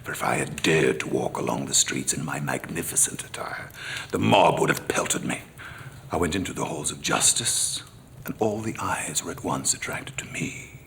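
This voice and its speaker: An actor playing a worldly, wry gentleman narrating his memoirs theatrically, with a gravelly voice that drops toward a whisper. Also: rumbling low, normal speed, a little hiss or hum, full character voice. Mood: wry, rueful. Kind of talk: dramatic first-person memoir narration.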